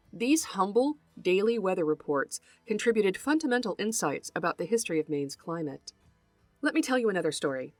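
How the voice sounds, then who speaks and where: A woman's voice: medium pitch, dry recording. Articulate woman narrating a podcast, dry sound. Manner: earnest, articulate, formal phrasing. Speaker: podcast host and folklore researcher. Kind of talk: scripted educational narration.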